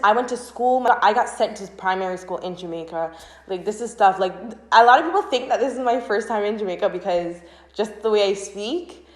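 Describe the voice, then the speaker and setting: A young woman from Canada in her twenties chatting casually to camera as a vlogger, bubbly and expressive. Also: mid-range voice. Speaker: vlogger and channel host. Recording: roomy, echoing room sound.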